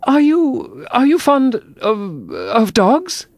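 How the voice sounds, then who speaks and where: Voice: high voice, huge pitch swings, slow pace, dry recording, voice pushed up, exaggerated voice. A narrator voicing a polite, chatty little girl in a storybook, with a gushing, earnest manner. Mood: eager.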